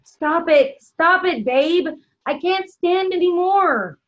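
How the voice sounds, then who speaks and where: A woman acting as a torn young wife with a very high voice, pleading and hesitant. She sounds anguished, in stage drama style, over dull lo-fi call audio. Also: takes it slowly. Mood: distressed, refusing. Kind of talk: virtual stage play table read.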